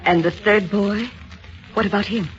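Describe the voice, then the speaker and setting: An actress voices a doctor's secretary in a vintage radio play, speaking with crisp, courteous politeness. The sound is muffled and lo-fi, like an old broadcast. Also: female voice, mid-range voice, steady tone, normal speed, soft background music, natural voice. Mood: concerned. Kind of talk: 1930s radio acting. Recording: dull old radio recording.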